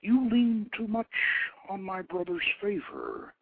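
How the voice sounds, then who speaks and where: A man voicing an imperious, mocking goddess of war in a storybook reading, husky and raised above his usual voice. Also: high voice, wildly swooping pitch, slow pace, dry recording, pitched above the performer's own voice, exaggerated voice. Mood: scornful command. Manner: commanding, scornful. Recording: tinny phone line.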